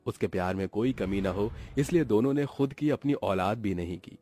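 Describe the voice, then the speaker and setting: Low-voiced male story narrator. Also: moderate pace, a little mellow, faint music behind. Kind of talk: scripted cartoon story narration in Hindi.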